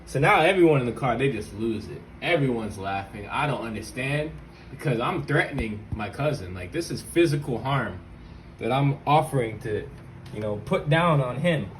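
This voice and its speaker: Man, medium pitch, informal vlogger, slangy, direct to viewers, tutorial and storytelling style, noisy homemade recording. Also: lively intonation, moderate pace. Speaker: YouTube vlogger and channel host. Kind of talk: casual hands-on tech tutorial with personal storytelling.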